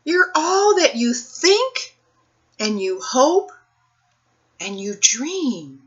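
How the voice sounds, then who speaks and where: Bright-voiced woman hosting children's storytime. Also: high voice, dull audio. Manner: expressive, drawn-out reading for young children. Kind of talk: picture book read-aloud and talk to families.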